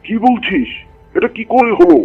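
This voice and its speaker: Man voicing a gravelly, blustering cartoon tiger landlord. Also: high voice, sing-song, moderate pace, heavily acted. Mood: alarmed. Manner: blustering, swooping, bossy. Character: bullying, domineering male tiger landlord of the jungle. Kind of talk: Bengali cartoon character dialogue. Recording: dull lo-fi audio with music behind.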